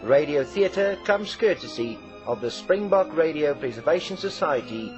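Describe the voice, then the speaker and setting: A man's voice: a little high, animated, moderate pace. Male radio announcer over prominent music. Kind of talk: scripted closing credits announcement. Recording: dull vintage radio, music behind.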